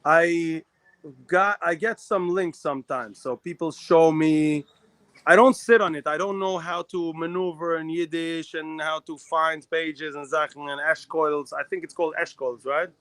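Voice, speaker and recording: A man from Israel co-hosting a live talk show, talking fast in a rambling way with many restarts. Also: on the high side, steady tone, fairly bright tone, no echo. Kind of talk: casual live chat.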